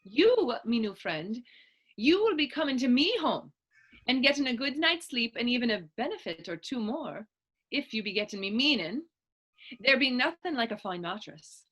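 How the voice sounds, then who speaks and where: A woman acts a bold, teasing Irish idealist from a period stage play. The voice is high and forceful, swinging widely as she presses her case with rhetorical emphasis. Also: big pitch swings, normal speed. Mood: teasing, playful. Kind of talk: stage readers-theater dialogue in Irish period dialect.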